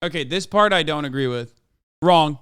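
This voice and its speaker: A man's voice: a little high, moderate pace, fairly bright tone, clean tone, dry recording, studio quality. A man from Turkey, in his thirties, giving heated, profane reaction commentary as a streamer, lecturing and emphatic.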